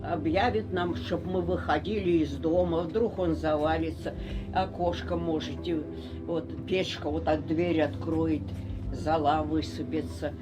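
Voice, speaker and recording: A man's voice: on the high side, steady tone, measured pace, music in the background, rough recording. A man from a village, describing what he remembers happening. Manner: recalling events, storytelling. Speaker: local villager.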